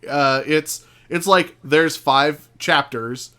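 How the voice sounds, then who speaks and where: A man guesting on a podcast, chatting casually in a halting, incredulous way. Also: on the high side, pushed and bright, clean tone. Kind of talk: casual chat.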